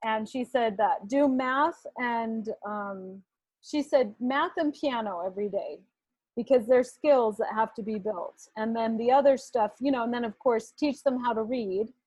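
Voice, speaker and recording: A woman hosting a casual interview, a homeschooling mother who talks softly with many fillers. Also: fairly high pitch, a little slow, slightly rough, no echo, low-quality recording. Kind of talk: casual conversation.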